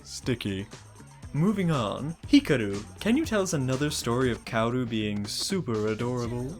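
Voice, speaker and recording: Woman as low, deadpan teenage schoolboy schemer. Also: normal speed, soft background music. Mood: curt, irritated. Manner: deadpan, smug. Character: cool, curt, calculating teenage boy club accountant. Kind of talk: fan parody dub of anime.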